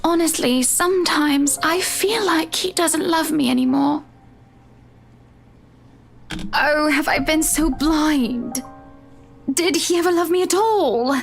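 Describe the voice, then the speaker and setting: Woman playing a high-pitched, haughty rich wife. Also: takes it slowly, creaky, pauses often, faint music behind, exaggerated voice. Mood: wounded. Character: haughty, wealthy married lady, bitter and needling. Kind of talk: visual novel character dialogue.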